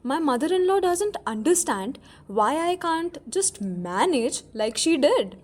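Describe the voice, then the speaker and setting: A woman's voice: high voice, sing-song, unhurried, darkish tone. A woman playing a friendly, overworked married office commuter in a radio play. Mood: wry, exhausted. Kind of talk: amateur radio drama acting.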